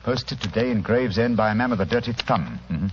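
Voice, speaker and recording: An actor playing a shrewd, courteous gentleman detective with a British accent, precise and analytical, in a vintage radio drama. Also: on the low side, lively intonation, fairly quick, lightly acted. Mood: scrutinising. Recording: noisy, muffled old radio recording.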